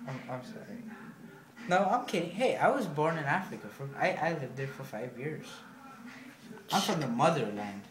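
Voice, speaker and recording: A man's voice: on the high side, lively intonation, normal speed, echoey room, slight background noise, poor audio. A young man rambling and goofing around with a friend in a small bedroom. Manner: goofy, rambling, playfully defensive. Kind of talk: casual chat.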